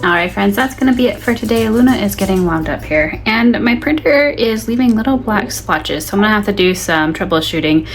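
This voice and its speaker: A woman's voice: mid-range voice, expressive, fairly quick, few pauses, soft background music. A young woman with a slightly stuffy nose, chatting casually about her small craft business in a home studio vlog. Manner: chatty, friendly, lots of "so" and "like". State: a little stuffy from allergies. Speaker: small business owner vlogger.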